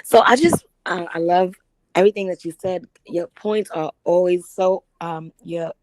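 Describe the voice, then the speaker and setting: A hoarse woman calling in to a livestream from her phone, chatty and gossipy. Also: on the low side, fairly even intonation, moderate pace, a little mellow, dry recording. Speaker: caller on a livestream. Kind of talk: casual chat.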